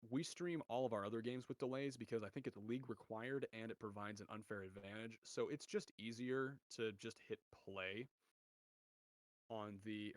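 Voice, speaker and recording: A young man with a deep voice streaming esports commentary from home, chatting with viewers and calling plays. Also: dry recording. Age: young adult. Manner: rambling, chatty, restarts often, bursts louder on goals. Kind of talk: casual solo game commentary and chat reading. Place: home room.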